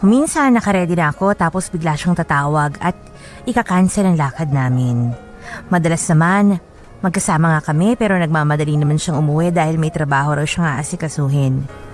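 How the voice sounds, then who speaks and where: A woman acting a wistful young woman who narrates her love troubles as a letter in a radio drama, measured and confiding. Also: deep voice, lightly acted. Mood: wistful, troubled. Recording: soft music bed.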